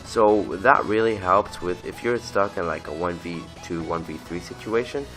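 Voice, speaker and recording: A man's very low voice is roughened by a cold that won't clear. He talks his way through gameplay from his bedroom, drifting off track and catching his own slips, with music playing under him. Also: normal speed. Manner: rambling, self-correcting, casual swearing. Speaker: gaming channel host. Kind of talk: casual gameplay commentary. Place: bedroom at home.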